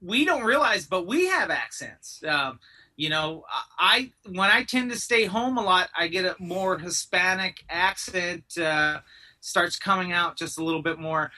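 A man's voice: high-pitched, expressive, unhurried, bright, forceful tone, far from the mic. A man talking as a podcast guest in loose, rambling casual chat, full of restarts and the odd curse. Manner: rambling, lots of restarts and swearing.

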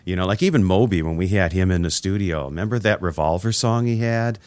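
A man's voice: deep voice, brisk, a little mellow, dry recording. A middle-aged man hosting a radio interview, chatting casually and rambling through nostalgic stories. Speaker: radio host.

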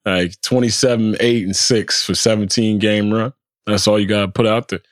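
A man hosting a podcast, talking sports in a casual, animated way, swearing freely and often repeating words. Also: on the low side, moderate pace, no echo, studio quality. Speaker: podcast host.

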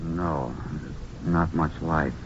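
An actor playing a weary, wounded male crime victim, gravelly and halting, in a radio drama. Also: extremely low pitch, fairly even intonation, heavily acted. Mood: weary, pained. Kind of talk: 1950s western radio drama acting. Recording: muffled, hissy 1950s radio recording.

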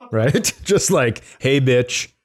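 A man co-hosting a comedy podcast, bantering casually and riffing with easygoing, sweary humour. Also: medium pitch. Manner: easygoing, profane, riffing on the story.

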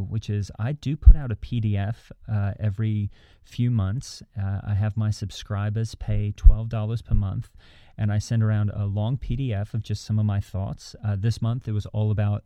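Mild, pleased-with-himself church podcast host, played by a man: earnest rambler, very soft dark tone, dry close sound. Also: lowish voice, fairly even intonation, normal speed, dry recording, close to an everyday voice. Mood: self-satisfied, encouraging. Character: mild, self-satisfied evangelical pastor-type podcast host. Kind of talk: improvised satirical church podcast banter.

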